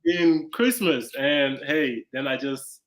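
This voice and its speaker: A young man from Nigeria telling his story as an interview guest, in a halting, easygoing way with many pauses and fillers. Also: on the high side.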